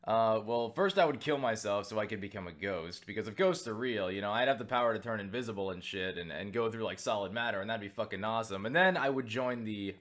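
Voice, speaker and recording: A man's voice: on the low side, fairly bright tone. A man from the United States answering viewer questions in a casual vlog, rambling, joking, swearing freely and poking fun at himself. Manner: self-deprecating.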